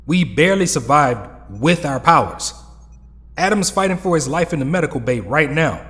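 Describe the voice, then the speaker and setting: An actor playing a worried, pleading superhero teammate in an audio drama. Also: male voice, fairly high pitch, expressive, normal speed, a bit bright. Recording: long reverb.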